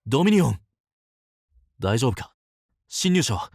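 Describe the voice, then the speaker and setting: A man's voice: mid-range voice, very animated, measured pace, no echo, studio quality. An actor playing a dutiful, urgent male palace guardian in a fantasy audio drama. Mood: urgent, concerned.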